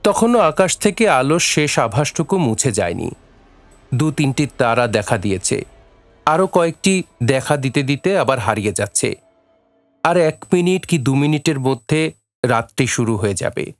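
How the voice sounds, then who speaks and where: Male narrator for a radio play: medium pitch, measured literary storytelling, faint music underneath. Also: big pitch swings, no room sound. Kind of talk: scripted story narration for audio drama.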